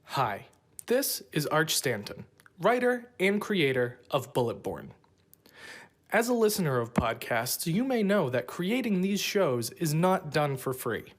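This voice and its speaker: A man's voice: fairly high pitch, sing-song, unhurried. A man reading a scripted appeal to listeners as the creator of a fiction podcast.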